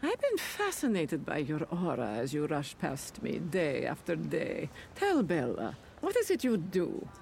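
An actress playing a mysterious, insistent fortune teller in a theatrical, declamatory audio drama style. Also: female voice, low-pitched, huge pitch swings, unhurried, vocal fry, hardly any pauses, a little mellow, a little hiss or hum, full character voice. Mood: intrigued, probing. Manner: portentous, declamatory.

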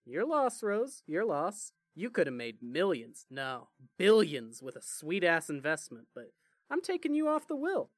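A man acts out a foul-mouthed teenage brother in a fan fiction audio drama. He rambles sarcastically with lively, swinging intonation. The sound is dry and close. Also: fairly high pitch, a little slow, no room sound, exaggerated voice. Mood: joking, rambling. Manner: sarcastic, rambling, heavy swearing.

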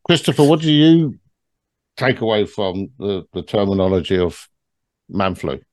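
A man's voice: on the low side, sing-song, normal speed, darkish tone, dry recording. A man moderating a group discussion, asking probing questions and summing up what the others say.

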